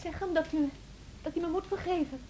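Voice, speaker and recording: A woman's voice: very high-pitched, fairly quick. An actress playing a pleading young woman, hesitant in her delivery, in a radio drama. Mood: pleading, contrite. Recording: noisy old recording with clicks.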